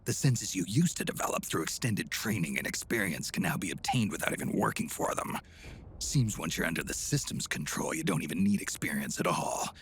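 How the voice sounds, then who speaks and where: Casting: weary video game war veteran, deep growling voice, gruff, brooding delivery, some background noise. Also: male voice, animated, a little fast, a bit bright. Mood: sceptical, brooding. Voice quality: gravelly, low growl. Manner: terse, brooding. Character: gruff world-weary old male soldier, stern and sceptical. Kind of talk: video game cutscene acting.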